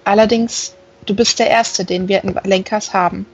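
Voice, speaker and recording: A woman's voice: medium pitch, fairly even intonation, moderate pace, highs cut off, a little hiss or hum, natural voice. A woman voicing a curious, plain-spoken village woman in an audiobook, direct and inquisitive. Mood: amused, frank.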